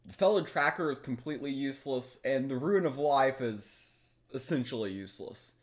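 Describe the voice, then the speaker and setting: A man's voice: medium pitch, animated, moderate pace. A young adult man giving live, analytical commentary on a game as he plays, thinking aloud through each move. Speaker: streamer, card game player. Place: small room at home, indoors.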